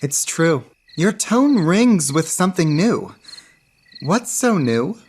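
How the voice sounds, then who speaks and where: A woman playing an ardent young gentleman in romantic radio theatre, speaking expressively and theatrically. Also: deep voice, very animated, slow pace, a little hiss or hum, full character voice. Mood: curious, charmed.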